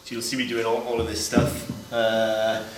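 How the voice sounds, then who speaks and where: A man giving an informal, explanatory demonstration as a Foley artist, pausing as he shows each item. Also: lowish voice, completely flat delivery. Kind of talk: informal demonstration of sound-effect props. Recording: echoey, distant mic, loud noise and clicks.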